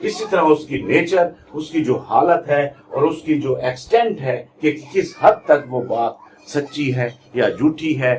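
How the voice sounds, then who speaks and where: A man's voice: a little high, animated, measured pace, a little mellow, a little hiss or hum. A man with a Pakistani accent giving an explanatory law lecture, mixing Urdu and English in a didactic, word-by-word way. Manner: didactic, reads definitions out word by word then explains.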